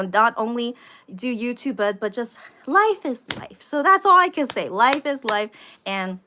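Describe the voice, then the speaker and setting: Bright, higher-voiced American woman chatting casually. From United States. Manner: rambling, repeats herself, animated emphasis. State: tired. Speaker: livestream host, vlogger. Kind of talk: casual livestream chat with viewers.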